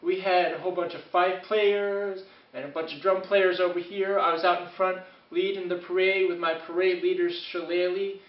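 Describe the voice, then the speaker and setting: A man performing a comedic tall tale as a storyteller, with a theatrical, animated delivery. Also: on the high side. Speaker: comic storyteller. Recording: echoey room, distant mic, muffled.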